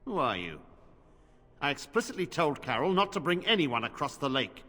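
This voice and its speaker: An actor playing a stern, weary templar commander in a video game, grave and authoritative, with long reverb on the voice. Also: male voice, on the high side, sing-song, moderate pace, bright, forceful tone, full character voice.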